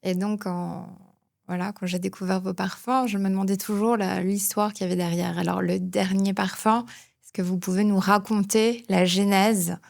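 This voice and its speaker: A woman's voice: mid-range voice, level tone, moderate pace, creaky, darkish tone. A woman in the audience asking a question, hesitant and restarting her sentences.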